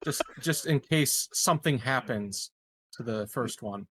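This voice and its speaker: Male tabletop gamer, average pitch, informal conversational delivery. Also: unhurried, fairly bright tone, breathy edge. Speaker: tabletop game player. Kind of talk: casual game chat, asking questions.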